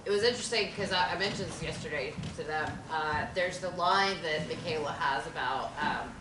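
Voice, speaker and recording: A woman's voice: low voice, normal speed. A woman who acted in the reading thoughtfully shares her notes in a casual discussion, searching for words with many fillers. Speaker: actor who read stage directions. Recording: echoey room, distant mic, noisy.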